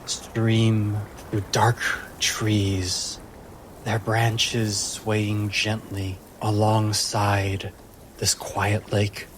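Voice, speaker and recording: A man narrating a tabletop fantasy game as game master, with a measured, deliberate storytelling delivery. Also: fairly low pitch, a little hiss or hum. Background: music.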